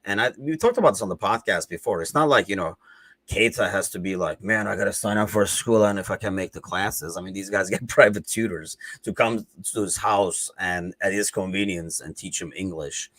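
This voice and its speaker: A man with a Turkish accent talks through football news in a loose, rambling way, leaning on lots of "you know" and "like" fillers. His voice sits a little low, and the recording is dry and close with no room sound. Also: fairly quick, fairly bright tone, no echo. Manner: rambling, conversational, many fillers. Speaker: podcast host. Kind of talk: casual football chat.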